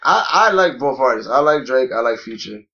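A man's voice: medium pitch, lively intonation, brisk, gravelly, sharp tone, muffled recording. A man co-hosting a podcast in casual chat, swearing often and restarting his sentences as he talks. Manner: loose, profane, many restarts and fillers. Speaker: podcast co-host.

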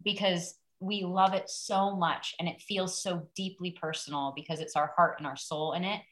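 Woman in her 30s, low-ish voice, chatty guest who acts out every quote. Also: normal speed. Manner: animated storyteller who acts out quotes and laughs at herself. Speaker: podcast guest, actor. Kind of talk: casual podcast chat.

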